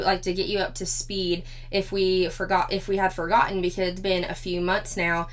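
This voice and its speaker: A slightly hoarse woman, chatting casually as a commentary vlog host who laughs often. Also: mid-range voice, a bit bright. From Four-State area of Missouri, Arkansas, Kansas, Oklahoma.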